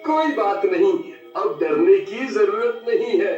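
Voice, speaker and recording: A man's voice: sits high, lively intonation, slow pace, darkish tone. A man playing a timid, lovestruck, boastful young village simpleton in a story, with whiny, stammering speech. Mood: bashful, boastful. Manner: whiny exclamations, hesitant stammering. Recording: echoey, distant, dull audio with music.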